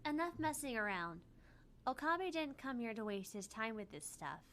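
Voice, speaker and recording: A woman's voice: a little high, sing-song, normal speed, a bit bright, slight background noise. A woman voicing a feisty, emphatic young woman scientist in a visual novel. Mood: scolding. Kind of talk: visual novel character voice reading.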